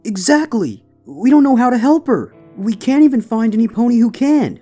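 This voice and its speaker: A man's voice: very high voice, very animated, normal speed, dark tone, faint music behind, voice pushed up, exaggerated voice. A man voicing an anxious, earnest young female unicorn pony with urgent, pleading delivery in a dramatic story reading. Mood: worried, insistent. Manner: urgent, exclamatory. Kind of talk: dramatic fan fiction reading.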